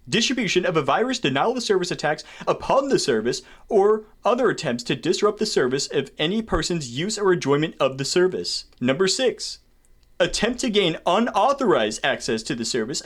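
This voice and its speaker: Male content creator, high voice with big swings, reading formal text aloud with irreverent, sweary commentary. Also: fairly bright tone. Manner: irreverent, sweary, theatrically indignant asides. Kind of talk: reading a document aloud with joking asides.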